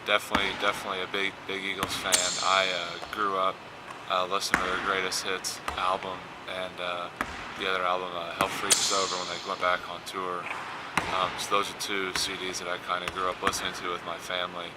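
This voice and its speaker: A man's voice: low voice, completely flat delivery, a little fast. A young American man from Indiana in his twenties, answering interview questions casually as a college athlete, often repeating words. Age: 20s. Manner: repeats words, frequent "you know". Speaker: college basketball player. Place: basketball fieldhouse. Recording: clear ambient noise of a gym.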